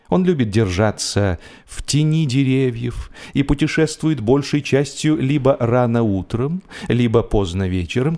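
A man narrating humorous literary prose in an expressive, measured storytelling manner with deliberate pauses. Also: mid-range voice. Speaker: audiobook narrator. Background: music.

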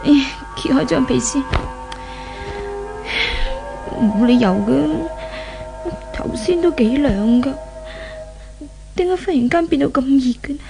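High-voiced young Cantonese heroine. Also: female voice, expressive, normal speed, creaky, warm tone, poor audio. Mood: uneasy, puzzled. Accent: Hong Kong Cantonese. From Hong Kong. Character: distressed young woman, humbled, awed by a spirit. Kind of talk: 1970s radio drama acting. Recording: 1970s radio drama with music.

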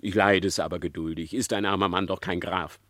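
An actor playing a sly fox trickster in a classic radio play, flattering and falsely humble, drawing out his phrases unctuously. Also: low voice, dry recording. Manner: unctuous, feigned meekness, drawn-out phrases.

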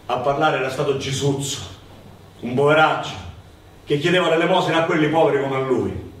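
A man's voice: a little high, brisk, natural voice. A man with a Sicilian accent acting and telling a folk tale on stage in an emphatic, declamatory way. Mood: storytelling. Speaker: stage actor and storyteller. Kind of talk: theatrical storytelling in Sicilian-flavoured Italian. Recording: very echoey hall, distant mic, faint noise.

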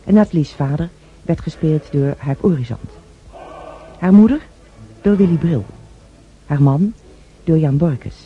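A male announcer reading scripted radio drama credits in a formal, measured way. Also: fairly high pitch. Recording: muffled old radio recording.

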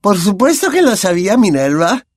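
A dreamy, high-voiced prophetess, put on by a male narrator, sounding distant and theatrical. Her pitch swoops all over the place, and the recording is close and dry. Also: big pitch swings, moderate pace, no echo. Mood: lofty. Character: dreamy eccentric female seer, older.

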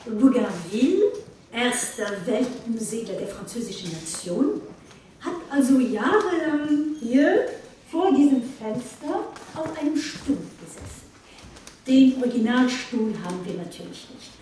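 Chatty museum guide in echoing room. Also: female voice, a little high, animated, unhurried, dark tone. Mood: chatty, proud. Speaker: exhibition guide. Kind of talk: informal guided tour in a radio play. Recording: very echoey room, distant, faint noise.

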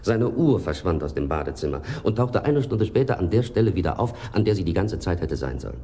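An actor playing a polite, precise and exasperated hotel manager in a German radio crime drama, courteous yet disdainful. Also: male voice, sits low, big pitch swings, brisk, warm tone, a little hiss or hum. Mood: indignant, dismissive.

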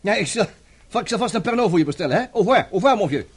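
Male radio-drama role: blunt, excitable reporter, highish husky voice, sardonic edge. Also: very fast, heavily acted. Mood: urgent. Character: brash, hot-tempered television journalist, a man.

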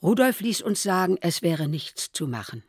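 A woman reading as an elderly mother keeping a wartime diary, calm and measured, in a documentary. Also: fairly low pitch, slightly rough, no echo. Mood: sober.

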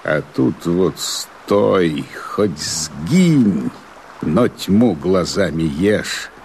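Gravelly, very slow brooding rebel sentry. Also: male voice, deep voice, expressive, darkish tone, slight background noise, heavily acted. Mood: bitter, anxious. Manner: slow, declamatory, with dramatic swells and drops. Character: brooding, wary rebel sentry on night watch. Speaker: actor in a radio play. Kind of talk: theatrical radio drama verse monologue.

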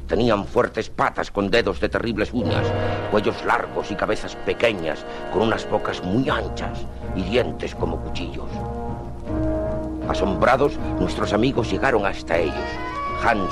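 A man's voice: low voice, moderate pace. A man narrating dramatically in the style of a 1950s radio adventure serial. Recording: vintage 1950s radio, music underneath.

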